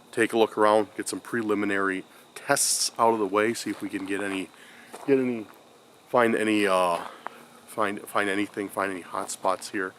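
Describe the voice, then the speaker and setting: A man's voice: lowish voice, pushed and bright, a little hiss or hum. A man with a congested voice, talking casually to his viewers outdoors in a forest, rambling with many fillers and restarts. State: congested from a cold. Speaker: paranormal vlogger. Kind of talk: casual vlog talk to camera.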